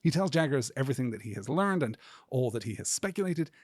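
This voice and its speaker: A man hosting a podcast as a scripted literary lecture, speaking in a measured, earnest, academic way. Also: mid-range voice, big pitch swings, dry recording, studio quality. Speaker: podcast host.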